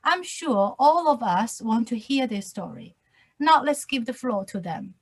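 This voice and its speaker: A woman finance lecturer hosting an interview, speaking in a slow, measured and formal way over a video call. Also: on the high side, lively intonation. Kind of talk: scripted introduction and interview questions.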